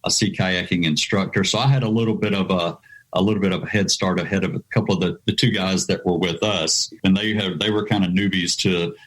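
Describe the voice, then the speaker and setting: A man's voice: low-pitched, fairly even intonation, a little fast, rough recording. An American man, an adventure travel writer, being interviewed on a podcast, rambling with lots of "you know" fillers. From United States.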